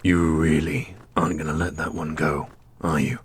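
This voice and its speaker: Man acting a very deep, raspy dark immortal. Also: level tone, normal speed, full character voice. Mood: wry. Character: teasing dark immortal brother, wry and affectionate. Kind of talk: fantasy audio roleplay.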